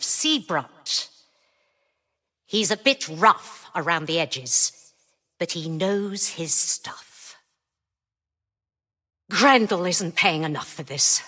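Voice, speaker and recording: An actress playing a cynical, commanding spaceship mistress in a video game, imperious, dismissive and dryly sardonic. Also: female voice, medium pitch, sharp tone. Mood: brisk, dry. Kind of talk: scripted video game voice lines. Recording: long reverberant hall echo.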